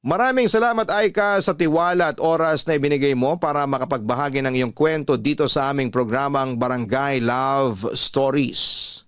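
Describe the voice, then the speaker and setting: Smooth-voiced man narrating a radio love story. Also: mid-range voice, no room sound, studio quality. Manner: slow, measured, heartfelt delivery. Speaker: radio drama host reading listener letters.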